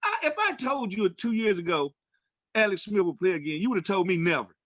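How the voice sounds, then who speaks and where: A man's voice: high voice, sing-song, a little fast, a bit bright, no room sound, lo-fi sound. A man co-hosting sports talk, giving opinions in an emphatic, colloquial, storytelling way. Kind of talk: opinionated casual sports commentary.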